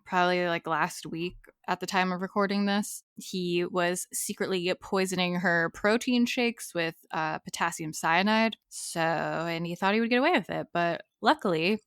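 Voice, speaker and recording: A woman's voice: lowish voice, moderate pace, few pauses, fairly bright tone, clean tone, no echo, clean studio sound. Chatty sniffly woman podcaster. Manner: chatty, rambling, self-deprecating. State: sniffly from allergies. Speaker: podcast co-host. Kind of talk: casual chat.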